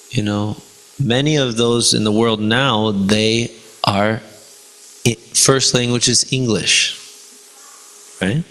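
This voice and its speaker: Male teacher, Spanish first language, gravelly voice, casual class talk. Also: mid-range voice, a bit bright, rough recording. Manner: conversational, many fillers and rhetorical "right?". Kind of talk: informal devotional class talk.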